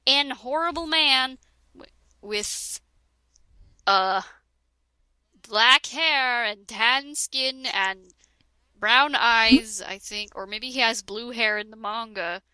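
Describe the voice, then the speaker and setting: A woman's voice: fairly high pitch, slow pace, long pauses, pushed and bright, smooth, no echo, low-quality recording. Giggly woman doing a comedic reading. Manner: giggly, keeps breaking into laughter and sarcastic asides. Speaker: reader of a parody fan fiction. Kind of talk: comedic dramatic reading with a friend.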